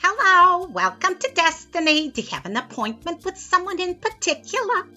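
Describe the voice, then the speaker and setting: A woman's voice: extremely high pitch, very animated, moderate pace, music in the background. A woman playing a befuddled, chirpy and scatterbrained editor in a comic sci-fi audio drama. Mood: chirpy, eager. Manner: scatterbrained, hesitant. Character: befuddled, chirpy editor who forgot who she is.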